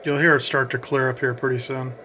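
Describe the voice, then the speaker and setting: A man's voice: medium pitch, little pitch movement, a little fast, bright, forceful tone, slight background noise. A man giving casual, explanatory commentary as he narrates a radio range test.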